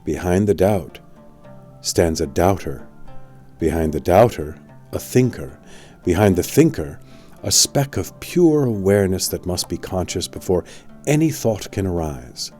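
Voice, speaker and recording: Man acting wise old wizard, very low dark voice, solemn, measured, instructive, audiobook mentor. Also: expressive, soft background music. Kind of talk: audiobook storytelling.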